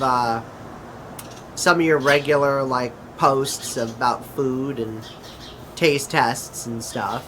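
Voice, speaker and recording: A man playing a casual, curious grown man in an amateur skit, talking conversationally and pausing mid-sentence. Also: mid-range voice, fairly bright tone, close to an everyday voice. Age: adult. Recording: clearly noisy, constant background hum.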